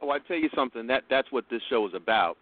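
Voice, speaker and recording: A man's voice: medium pitch, animated, rapid-fire. A man hosting a talk podcast, giving opinionated commentary in an earnest, insistent way. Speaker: podcast radio host. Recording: dry, phone-like narrow band sound.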